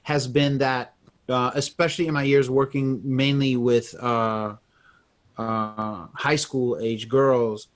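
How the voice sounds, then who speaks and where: A man's voice: mid-range voice, slow. A man hosting a podcast interview, chatting casually and animatedly. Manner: animated, acts out quoted voices. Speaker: podcast host.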